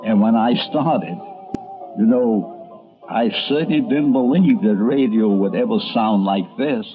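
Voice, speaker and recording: A man's voice: fairly low pitch, measured pace, phone-quality sound, soft background music. An older man narrating a documentary as a storyteller and radio columnist.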